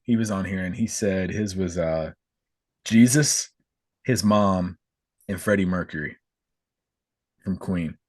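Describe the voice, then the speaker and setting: Low-voiced relaxed podcast host. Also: male voice, takes it slowly, long pauses, no echo. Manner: relaxed, conversational, easy fillers. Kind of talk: casual interview.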